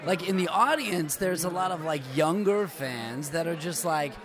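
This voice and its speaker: Excitable podcast host, crowd around. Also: male voice, fairly high pitch, moderate pace. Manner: excitable, stumbling restarts. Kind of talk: enthusiastic interviewing.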